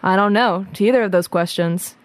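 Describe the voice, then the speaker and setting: A woman's voice: medium pitch, a little fast, natural voice. A woman playing a blunt, commanding schoolgirl superhero sister in a radio drama. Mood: puzzled, decisive.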